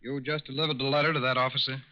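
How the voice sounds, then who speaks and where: An actor playing a calm, courteous male federal agent with measured questioning in a 1940s radio crime drama. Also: medium pitch, lightly acted. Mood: calm, inquiring. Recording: muffled, hissy old radio broadcast.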